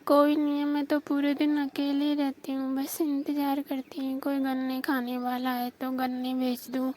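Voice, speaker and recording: A woman's voice: high-pitched, monotone, moderate pace, darkish tone, a little hiss or hum. A woman from Rajasthan on a recorded phone call, teasing and bargaining in a coy, flirtatious way.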